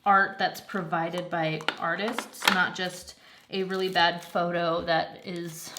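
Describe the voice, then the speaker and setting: A woman's voice: fairly low pitch, little pitch movement, slow, very noisy. A young woman chatting casually about a crafting project at home, slightly congested and rambling with lots of fillers. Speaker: craft vlogger. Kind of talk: casual chat.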